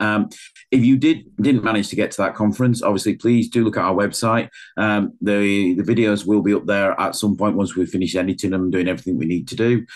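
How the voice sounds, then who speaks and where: A British man in his fifties with a croaky voice, hosting a charity's online Q&A with many fillers and restarts. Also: low-pitched. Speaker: charity host. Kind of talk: introducing an online Q&A. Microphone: video call.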